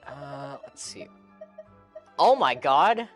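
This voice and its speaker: A man's voice: a little high, very animated, very slow, a bit bright. A man streaming a video game, giving casual live reaction commentary, with game music behind him.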